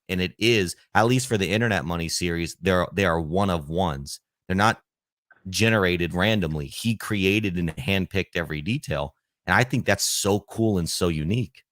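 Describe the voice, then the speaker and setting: A man's voice: low-pitched, normal speed, a bit bright, no room sound, clean studio sound. A man hosting a casual podcast interview, enthusiastic and addressing viewers directly. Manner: enthusiastic, praising, talks to viewers. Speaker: podcast host.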